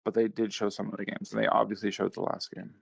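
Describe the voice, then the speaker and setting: A man's voice: lowish voice, level tone, fast talker, vocal fry, slightly rough, no echo. A man chatting casually on a livestream over a phone call in a playful, goofy way. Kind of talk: casual chat.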